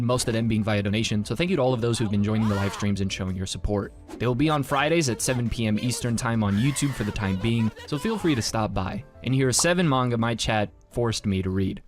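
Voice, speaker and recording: A man hosting a scripted video review, narrating with casual asides. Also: on the low side. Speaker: manga reviewer, video host.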